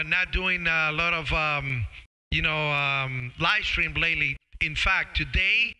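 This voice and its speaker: A middle-aged man chats casually as a ham radio operator on a live stream, friendly and halting, into a close studio microphone. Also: fairly high pitch, pushed and bright, clean tone, rough recording. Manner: friendly, halting, calls listeners brother. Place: small home radio room. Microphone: boom-arm studio microphone with headset.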